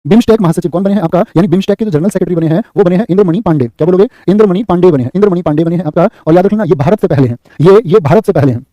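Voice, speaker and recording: Male coach, high voice, very fast repeating quiz delivery. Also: dark tone, no room sound. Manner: rapid, repeats answers and asks "what will you say?". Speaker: exam coaching teacher. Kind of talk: live online class, quizzing students.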